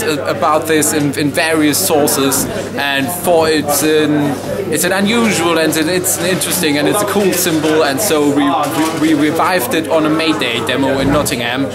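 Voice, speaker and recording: Hesitant man with a non-native accent at a noisy fair. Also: a little high. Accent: non-native English. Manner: hesitant, many fillers and restarts. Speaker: interviewee, radical history publisher. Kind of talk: casual interview. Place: busy indoor fair at a university. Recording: crowd chatter and loud music behind.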